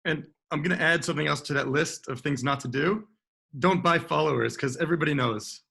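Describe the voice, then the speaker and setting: A man, a dentist, talking casually on a panel with a scratchy voice, often clearing his throat as he agrees and explains. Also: fairly high pitch, a little fast, pushed and bright.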